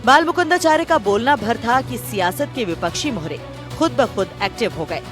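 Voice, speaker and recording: A woman narrates a scripted news report in an animated voice with lively swings in pitch. Music plays clearly behind her. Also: normal speed.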